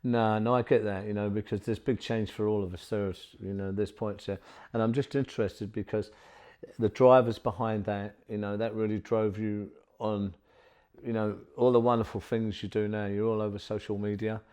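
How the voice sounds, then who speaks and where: A middle-aged man with a slightly low-pitched voice hosts an interview from a studio. He talks in an effusive, rambling way, piling up fillers and restarts. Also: flat delivery, moderate pace, a little mellow, clear, resonant tone. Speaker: podcast host.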